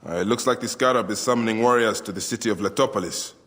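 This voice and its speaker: A man playing a grave but kindly warrior and protector in a video game cutscene, speaking in terse, measured lines. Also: on the low side, fairly bright tone, lightly acted. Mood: earnest. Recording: long reverb, music underneath.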